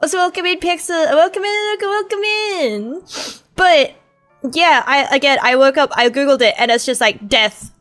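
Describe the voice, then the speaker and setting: A woman's voice: high voice, normal speed, fairly bright tone, faint music behind. A woman streamer with a sore throat, chatting casually in a rambling way, swearing and laughing.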